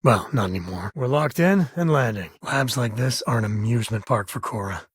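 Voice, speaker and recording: An actor playing an easygoing, wry space cowboy and single father in a video game, husky and rough-edged with a folksy, conversational manner. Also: mid-range voice, lively intonation, a little fast, dry recording, clean studio sound. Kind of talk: video game character dialogue.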